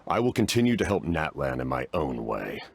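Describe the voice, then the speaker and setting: Very deep-voiced, solemn knight-commander. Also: male voice, sing-song, creaky, husky, no echo, exaggerated voice. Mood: grave. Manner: formal, measured, grave. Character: solemn masked male knight-commander, war-weary and stoic. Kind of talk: fantasy game voice acting.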